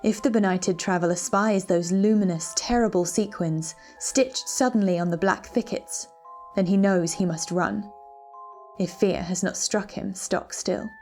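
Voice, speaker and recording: Female narrator for dark literary tale. Dramatic, ominous, measured phrasing, music underneath. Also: mid-range voice. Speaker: reader of a short story. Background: background music.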